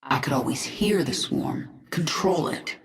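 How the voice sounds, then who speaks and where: Commanding swarm queen, low cool voice, confident, roomy echo, music behind. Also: lively intonation, a little slow, a crowd in the background. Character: powerful reborn alien swarm queen.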